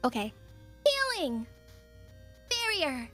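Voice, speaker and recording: A woman with a high voice that moves around a lot in pitch speaks at a slow, easy pace. She is casual, dropping in short performed lines like a voice actor, with faint music in the background. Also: very animated, sharp tone.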